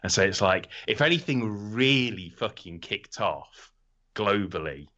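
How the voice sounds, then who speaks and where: A man's voice: fairly low pitch, expressive, measured pace, pushed and bright, lo-fi sound. A man co-hosting a podcast in casual, comedic chat, ranting animatedly and swearing freely. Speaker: podcast co-host.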